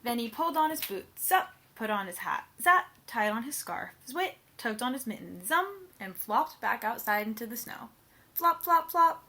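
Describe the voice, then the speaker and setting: Animated, bright-voiced woman reading a picture book. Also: on the high side, moderate pace, a little hiss or hum. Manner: playful sound words, emphatic. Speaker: read-aloud storyteller.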